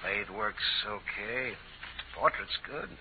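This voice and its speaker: A man's voice: lowish voice, takes it slowly. An actor playing a matter-of-fact underworld expert, clipped in his appraisals, in a 1940s radio drama. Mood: appraising. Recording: tinny, noisy old radio broadcast recording.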